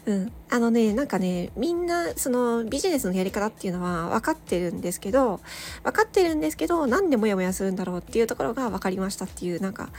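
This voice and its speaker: A woman hosting a solo audio show, chatting casually and reflectively to listeners, thinking aloud with hesitant pauses. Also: fairly high pitch, big pitch swings, darkish tone, a little hiss or hum. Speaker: solo audio channel host, amateur voice actor.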